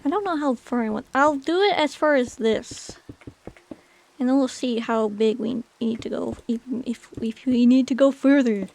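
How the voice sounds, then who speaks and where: Chatty young woman streamer, slightly high voice. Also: normal speed, gravelly, darkish tone, rough recording. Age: 20s. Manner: chatty, rambling, many "like" fillers. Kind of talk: casual chat with chat while gaming.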